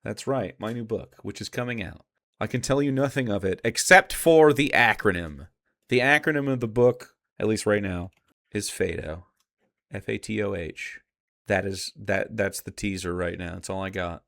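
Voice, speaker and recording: A man's voice: lowish voice, normal speed, vocal fry, fairly bright tone. A man giving casual, argumentative live commentary as a streamer, blunt and sarcastic, with plenty of swearing. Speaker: streamer reacting to a video.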